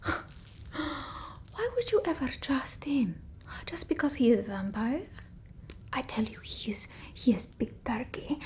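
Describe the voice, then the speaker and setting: A melodramatic, aggrieved vampire woman murmurs. Her voice is high and mellow. She draws her words out slowly and theatrically. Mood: indignant. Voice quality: soft, breathy whispering. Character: petulant, melodramatic female vampire from an old village. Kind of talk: ASMR roleplay, mostly whispered.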